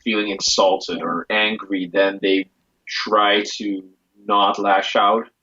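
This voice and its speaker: Low-voiced hesitant man, dull lo-fi sound. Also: level tone, slow pace, sharp tone, slightly rough. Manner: hesitant, many restarts and fillers. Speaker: guest. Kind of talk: casual conversation.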